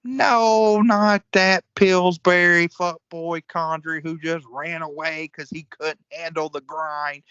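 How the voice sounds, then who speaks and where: A man's voice: fairly high pitch, fairly even intonation, takes it slowly, hardly any pauses, a bit bright, smooth, dry recording, highs cut off. A man acting in a comedic audio play as a crude, hot-tempered old-school wrestler, folksy and profane. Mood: blustering.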